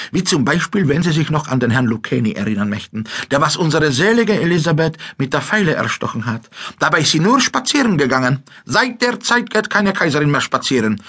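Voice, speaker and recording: An actor playing a chatty, simple-minded Czech ex-soldier and dog seller with a Bohemian-tinged Austrian accent, in a comic audiobook. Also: male voice, fairly high pitch, bright, forceful tone, full character voice. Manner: rambling, folksy, mock-naive.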